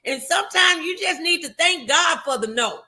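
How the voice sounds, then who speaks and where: A woman's voice: very high voice, expressive, normal speed, sharp tone. A woman hosting a motivational talk show, speaking in an encouraging, emphatic, preachy way.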